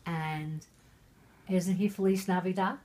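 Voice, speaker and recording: Drunk woman stumbling slowly through a reading. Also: fairly low pitch, steady tone, dark tone. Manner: halting, stumbling over words, irreverent. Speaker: mother reading a Christmas poem to her family. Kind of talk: tipsy storybook reading with ad-libbed asides.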